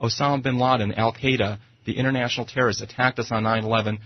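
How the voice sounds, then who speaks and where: A man's voice: medium pitch, fairly even intonation, fast talker, lo-fi sound, slight background noise. An American man arguing politics as a guest on talk radio, speaking emphatically and repeating phrases to press his point. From United States.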